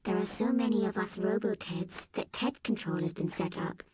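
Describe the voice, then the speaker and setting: A woman voicing a loyal male robot teddy bear who talks softly in a breathy, whispery voice. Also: mid-range voice, steady tone, moderate pace. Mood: soft conspiratorial.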